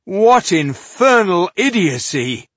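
A man's voice: sits high, very animated, takes it slowly, no echo, pitched above the performer's own voice, full character voice. Man as a snarling, commanding dwarf warrior. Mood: furious. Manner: snarling, emphatic, scathing. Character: commanding, wrathful dangerous male dwarf warrior.

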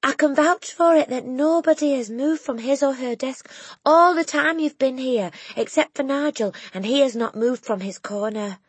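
A woman doing a gentle, steady schoolteacher. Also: sits high, moderate pace, breathy edge, dry recording, pitched above the performer's own voice. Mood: calm firm. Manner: calm, steady, kind. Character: gentle, calm young female schoolteacher.

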